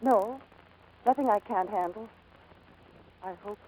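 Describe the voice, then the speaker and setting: A woman's voice: medium pitch, unhurried, a little mellow, lightly acted. An actress playing a plucky young woman pilot in 1940s radio drama, hesitant and wistful behind brave wisecracks. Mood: guarded. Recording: noisy vintage 1940s radio broadcast.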